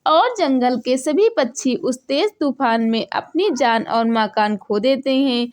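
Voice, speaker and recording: Female narrator, somewhat high pitch, scripted children's storytelling. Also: expressive, slow, darkish tone.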